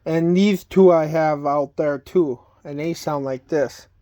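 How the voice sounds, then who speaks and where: A man casually showing items from a collection in a plain, halting, item-by-item way. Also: on the high side, warm tone. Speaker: collector showing weather radios. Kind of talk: casual show-and-tell vlog.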